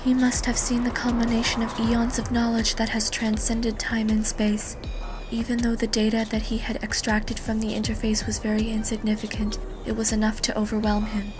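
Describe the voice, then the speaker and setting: A woman playing a quiet, expressionless teenage girl in an audio drama, reciting her lines plainly. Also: on the high side, completely flat delivery, normal speed, music in the background, close to an everyday voice. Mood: emotionless. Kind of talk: fan audio drama acting. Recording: clear background noise and ambience.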